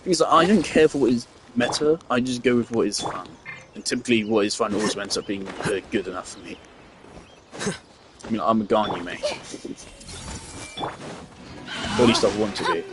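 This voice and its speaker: Man, low-pitched, casual, thinks aloud, restarts a lot, gameplay commentary. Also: moderate pace, long pauses, music in the background. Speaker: gameplay streamer.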